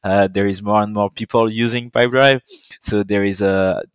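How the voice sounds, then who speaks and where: A young man with a French accent, a guest on a business podcast, speaking in deliberate, halting second-language phrasing. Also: on the low side, fairly even intonation, clean tone, dry recording. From French Caribbean island. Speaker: guest, partner account manager.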